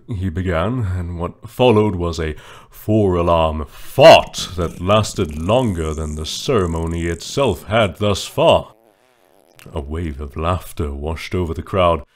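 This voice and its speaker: A man giving a dramatic reading of a children's book in a slow, deadpan storytelling manner. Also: low-pitched. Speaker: reader.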